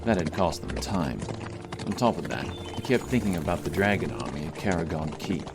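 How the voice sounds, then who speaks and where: A man's voice: low voice, lively intonation, moderate pace, heavy background noise. A man reading scripted fantasy narration as a dramatic audiobook narrator. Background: music.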